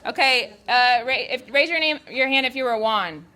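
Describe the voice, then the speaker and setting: A woman's voice: high voice, expressive, moderate pace, sharp tone. A woman leading a volunteer training activity, giving informal instructions to a group in a casual, improvising way.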